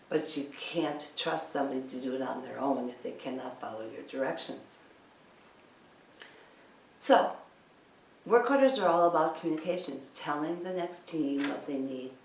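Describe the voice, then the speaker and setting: A woman with a deep voice delivers a training lecture. She is emphatic and insistent, leaning on rhetorical questions. The sound is roomy and distant, with faint noise behind her. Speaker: cleaning business trainer. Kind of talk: instructional talk, training lecture. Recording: echoey room, distant mic, background noise.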